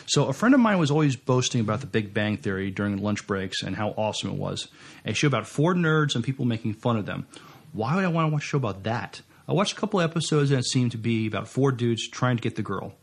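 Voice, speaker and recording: A man's voice: medium pitch, sing-song, fast, breathy edge. A man co-hosting a podcast, speaking casually and reading out listener messages. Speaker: podcast co-host.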